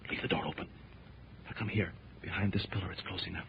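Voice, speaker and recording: An actor playing a calm, fearless jungle hero in a 1930s radio serial, giving terse, commanding lines. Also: male voice, low-pitched, fast, creaky. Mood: hushed, urgent. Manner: terse, clipped orders. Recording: muffled, noisy 1930s radio recording.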